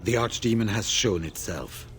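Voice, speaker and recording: An actor playing a grave veteran warden scout in a fantasy video game, speaking in a formal, measured way. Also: male voice, lowish voice, slight background noise, lightly acted.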